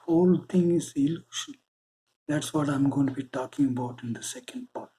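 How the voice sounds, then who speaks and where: A man with an Indian English accent, giving a slow, earnest spiritual monologue with deliberate pauses. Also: fairly high pitch, dark tone, slight background noise, rough recording. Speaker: spiritual channel host.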